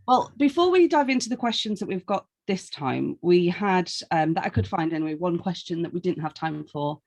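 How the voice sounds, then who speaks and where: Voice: medium pitch, expressive, fairly quick, a little mellow. A co-host in a casual podcast chat, trading jokey banter and reading out listener questions.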